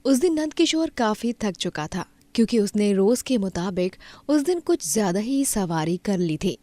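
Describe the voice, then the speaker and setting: A woman's voice: mid-range voice, lively intonation, normal speed, dark tone, no echo. A woman reading scripted narration for a moral cartoon story as its storyteller.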